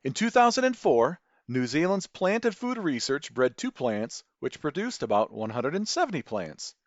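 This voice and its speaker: A man with a Midwestern American accent hosts a podcast from a script. His fairly high voice swings up and down in a lively way, with careful, folksy phrasing. The recording is dry and slightly dull. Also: moderate pace, no echo. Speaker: podcast host. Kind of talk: scripted narration.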